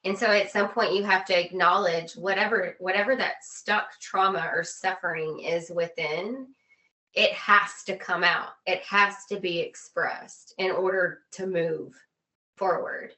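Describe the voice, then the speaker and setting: Bright, emphatic woman explaining. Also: fairly low pitch, a little slow, low-quality recording. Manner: emphatic, repetitive phrasing. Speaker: interview guest. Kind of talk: earnest conversational explaining.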